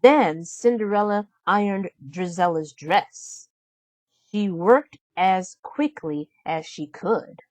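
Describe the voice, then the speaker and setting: A woman reading a children's storybook aloud as narrator, in an expressive, deliberate storytelling manner. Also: mid-range voice, dry recording. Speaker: storybook reader.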